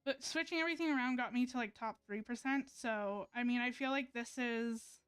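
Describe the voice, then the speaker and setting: A woman's voice: a little high, brisk, a bit bright, smooth, no echo. A woman live streamer chatting casually to viewers while she plays a game, hesitant and thinking aloud as she goes.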